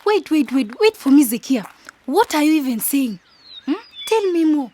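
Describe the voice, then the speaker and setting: An actress playing a timid, dreamy young village wife from South Sudan in a radio drama, earnest in manner. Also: female voice, high-pitched, very animated, normal speed, mellow, slight background noise. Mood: astonished. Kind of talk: radio drama narration and acted dialogue.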